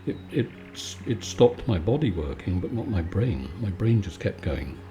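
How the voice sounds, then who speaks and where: A man giving a calm, soothing, conversational bedtime chat in a relaxed ASMR style. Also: sits low, normal speed, a little hiss or hum. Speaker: video maker and host.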